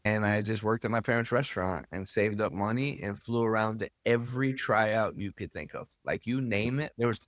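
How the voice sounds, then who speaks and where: Low-voiced American man telling stories casually. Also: normal speed, hardly any pauses, fairly bright tone, no echo, studio quality. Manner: candid, colloquial, swears casually. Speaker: podcast guest, soccer club owner and coach. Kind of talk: casual storytelling in an interview.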